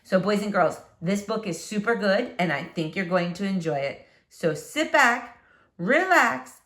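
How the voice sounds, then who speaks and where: A woman reading a children's book aloud as a storyteller, with expressive, dramatic emphasis. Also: mid-range voice, measured pace.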